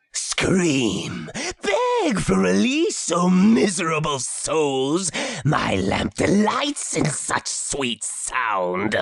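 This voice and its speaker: A man's voice: on the high side, huge pitch swings, extremely slow, gravelly, no room sound, full character voice. A man voicing a crazed, sadistic goblin-like demon for a game, in a theatrical, gloating manner. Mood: gloating, cruel glee. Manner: theatrical, cackling, relishing.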